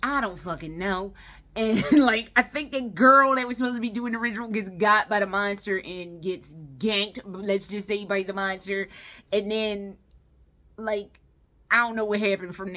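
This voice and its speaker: Bright-voiced woman rambling bluntly on a vlog. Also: mid-range voice. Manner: blunt, profane, conversational. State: tired. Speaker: video game and movie vlogger. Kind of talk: casual rambling solo review vlog.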